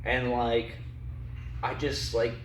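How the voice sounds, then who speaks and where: Casual male musician guest, halting and filler-heavy, mic set back in an echoey room with steady background noise. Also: mid-range voice. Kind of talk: casual interview chat.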